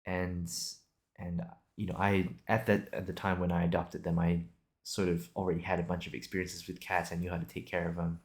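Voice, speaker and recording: A young man with a deep voice and a lot of vocal fry talks casually to his podcast audience. He improvises as he goes, hesitating and circling back as he thinks out loud. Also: completely flat delivery, a little fast. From Singapore and Australia. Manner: rambling, hesitant, thinking aloud. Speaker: video podcast host. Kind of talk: casual improvised solo chat.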